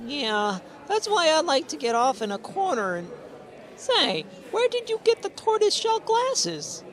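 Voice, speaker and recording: A woman's voice: sits high, very animated, measured pace. A woman playing an eager, gossipy teenage schoolboy in a radio comedy, with hesitant starts and exclamations. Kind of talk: old-time radio comedy acting.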